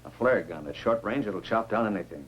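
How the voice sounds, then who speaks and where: A man's voice: on the low side, animated, a little fast, muffled recording, natural voice. An American actor playing a stern, pragmatic man who takes command, in 1950s science fiction film style. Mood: firm, urging. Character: stern, pragmatic man leading a monster hunt. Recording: hissy, humming 1950s film soundtrack.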